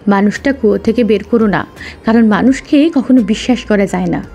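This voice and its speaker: A woman's voice: mid-range voice, fairly quick, dark tone, dull audio, lightly acted. A woman voicing a wary but helpful snake in a children's story. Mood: warning. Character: wary, helpful snake who distrusts humans.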